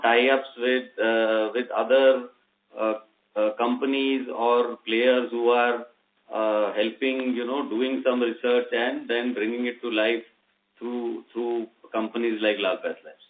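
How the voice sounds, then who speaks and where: Indian man, company executive on an earnings call, medium pitch, explanatory and hesitant, narrowband line. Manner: halting, measured, explanatory. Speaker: company executive answering analysts' questions. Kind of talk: unscripted earnings call answers. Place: conference call. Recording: narrowband phone-line audio.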